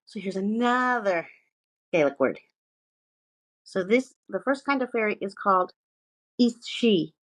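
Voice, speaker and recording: A woman's voice: medium pitch, lively intonation, unhurried, long pauses, fairly bright tone, no echo. A woman co-hosting a podcast, partly reading from notes and chatting casually, with sweary, self-mocking asides. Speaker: podcast co-host presenting research.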